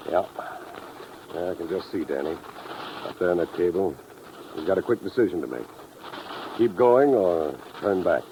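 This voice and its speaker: Ruminative American sheriff, 1950s radio drama, low and warm, plain-spoken, slightly noisy recording. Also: male voice, animated, moderate pace, long pauses. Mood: reflective. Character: sober, reflective small-town sheriff. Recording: old radio, faint noise, outdoor birds.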